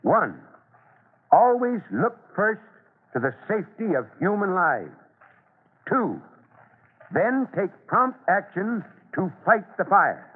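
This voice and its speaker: An actor playing an earnest, authoritative fire chief in an old-time radio serial, speaking deliberately. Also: male voice, high-pitched, big pitch swings, husky, heavily acted. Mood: instructive, earnest. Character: calm, authoritative fire chief investigating, duty-minded man. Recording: tinny, band-limited old radio recording.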